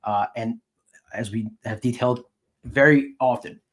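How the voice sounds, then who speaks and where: A man co-hosting a sports podcast, giving casual commentary in a measured, wry way. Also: mid-range voice, steady tone.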